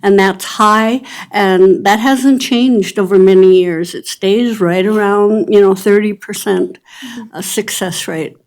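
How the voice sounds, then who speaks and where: A woman speaking at a city board meeting, earnest and plain-spoken. Her pitch stays level and even as she explains things, with little rise or fall. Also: slow. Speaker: treatment center presenter. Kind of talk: public meeting testimony. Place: government board meeting chamber.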